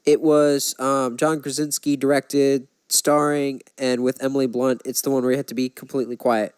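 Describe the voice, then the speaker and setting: A man's voice: mid-range voice, little pitch movement, moderate pace, darkish tone, clear, resonant tone, dry recording. A man co-hosting a movie podcast, chatting casually and enthusiastically about films.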